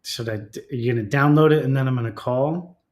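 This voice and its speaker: A man's voice: mid-range voice, quick pace. A middle-aged man in casual comic banter as a show co-host, with a joking, self-deprecating manner.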